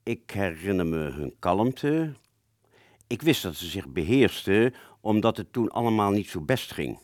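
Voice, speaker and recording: A man acts out a wartime fighter pilot remembering his missions in a radio drama. The voice is low and swings expressively as he searches his memory. It sounds very dry and close. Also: sing-song, measured pace, no room sound. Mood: reflective. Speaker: storytelling narrator of a radio play. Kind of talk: reflective first-person radio drama narration.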